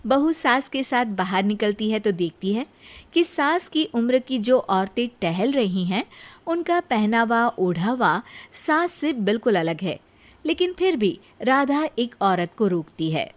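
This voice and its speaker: A woman's voice: on the high side, sing-song, a little slow. A woman narrating a scripted moral story as a storyteller.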